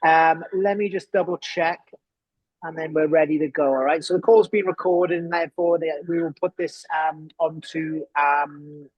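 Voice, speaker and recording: Chatty host with a dry, close sound. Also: male voice, a little high, steady tone, normal speed, dry recording, muffled recording. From southern England near Wales. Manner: friendly, filler-heavy and informal. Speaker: webinar host. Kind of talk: casual hosting, introducing a guest. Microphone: computer mic on a video call.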